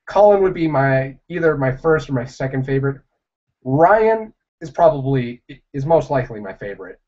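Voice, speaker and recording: A young man chatting casually on a livestream, answering viewers in a rambling, halting way with many fillers. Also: mid-range voice, expressive. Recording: dull lo-fi livestream audio.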